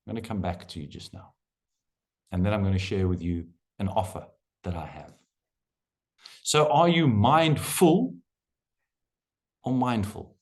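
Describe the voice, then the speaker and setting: Guy coaching over a video call, pitch jumping all over, punchy and emphatic. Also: a little slow, long pauses. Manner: animated, emphatic lecturing with repeated phrases. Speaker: workshop host and coach. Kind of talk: online workshop presentation.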